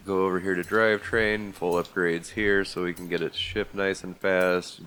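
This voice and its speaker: A man's voice: deep voice, a bit bright, slight background noise. A man hosting a racing game video, talking casually through a vehicle build while congested from a bad cold. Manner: easygoing, methodical walkthrough with fillers. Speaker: gaming video host. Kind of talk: casual gameplay commentary.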